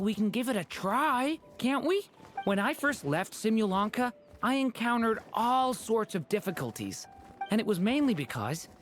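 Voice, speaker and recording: A woman voicing an earnest, hopeful young boy dragon in a video game, boyish and expressive. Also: medium pitch, lightly acted. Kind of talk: video game character acting. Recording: music under the voice.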